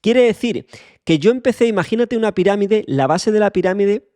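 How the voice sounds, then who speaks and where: A young podcast host from Extremadura speaks in a fairly high voice. He lectures the listener bluntly, swears freely and drags out key words for emphasis. The recording is dry and close, with no room sound. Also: animated, brisk, mellow, dry recording. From Extremadura, Spain. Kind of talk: motivational monologue.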